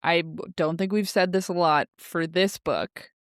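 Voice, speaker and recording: A woman's voice: on the low side, steady tone, a little slow, clear, resonant tone, no echo, clean studio sound. A woman co-hosting a casual book discussion, informal and often laughing as she talks. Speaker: podcast co-host.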